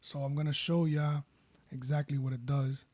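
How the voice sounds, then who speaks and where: A man with a baritone voice, casually walking viewers through making a beat and rambling as he goes. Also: moderate pace, heavy background noise. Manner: relaxed, rambling, self-correcting. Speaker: beat producer. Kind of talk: casual tutorial talk. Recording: faint music behind.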